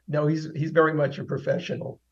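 A man stage actor speaking in a relaxed, hesitant way with many fillers. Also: on the high side, brisk. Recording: dull lo-fi sound.

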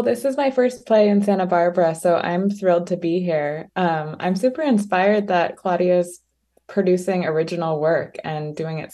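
A woman's voice: sits low, warm tone. A woman stage actor answering eagerly in a casual interview. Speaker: stage actress.

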